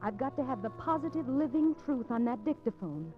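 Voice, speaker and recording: An American actress playing a secretive, lovestruck wife in a 1950s radio drama, speaking breathlessly and melodramatically. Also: female voice, sits high, sing-song, brisk, soft background music. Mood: tender, secretive. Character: intense, secretive wife of a condemned man, in love. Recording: dull, noisy 1950s radio recording.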